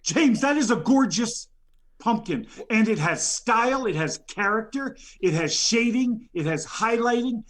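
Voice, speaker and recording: Man, high voice, aggrieved rambling nominee, lots of stammers and ums. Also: expressive, unhurried, a bit bright. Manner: indignant, rambling, stammers with many fillers. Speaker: nominee on a comedy show. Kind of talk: improvised comedy rant.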